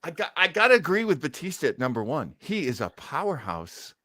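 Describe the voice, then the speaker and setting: A man with a bright, forceful voice and very animated pitch, talking casually as a podcast host. He sounds enthusiastic and likes to prod with questions, recorded close and dry. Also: fairly quick, dry recording. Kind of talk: casual chat about old radio and films.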